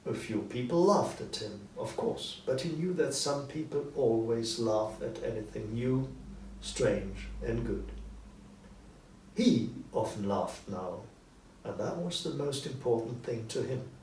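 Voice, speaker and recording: A man reading a story as narrator, in an expressive, deliberate scripted style. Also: mid-range voice. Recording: roomy echo, distant mic, faint noise.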